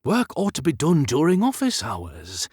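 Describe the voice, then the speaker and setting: A man voicing a gentle, resigned older Victorian mill manager in an audiobook, wearily questioning and chiding his clerks. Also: on the high side, wildly swooping pitch, a little slow, husky, no room sound, clean studio sound, pitched above the performer's own voice, full character voice.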